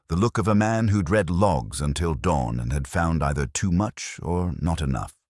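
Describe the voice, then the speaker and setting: A man narrating a scripted detective story as an audiobook reader, with wry, deliberate phrasing. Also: very deep voice, dry recording, studio quality.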